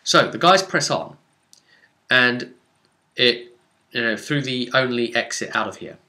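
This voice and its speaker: Male game master, mid-pitched and bright, telling an adventure recap in an informal, emphatic, conversational way. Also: measured pace. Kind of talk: casual narrated recap of a role-playing adventure.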